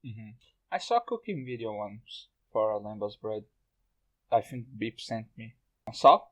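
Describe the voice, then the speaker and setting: Slow-talking, animated man. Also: fairly low pitch, rough recording. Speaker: podcast host. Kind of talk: casual group discussion.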